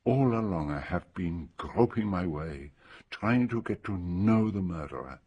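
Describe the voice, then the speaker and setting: Calm serious detective in a radio play, deep voice, real slow and formal, picks at every detail. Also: male voice, highs cut off, slight background noise. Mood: pensive. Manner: formal, deliberate questioning. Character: methodical, probing older male detective, calm and grave. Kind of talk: radio drama acting.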